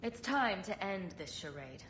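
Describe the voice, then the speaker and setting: A woman's voice: mid-range voice, sing-song, measured pace, fairly bright tone, slight background noise. An actress playing a bold female adventurer in a fantasy game, with a wry, direct way of talking. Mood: alert. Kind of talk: dramatic game dialogue.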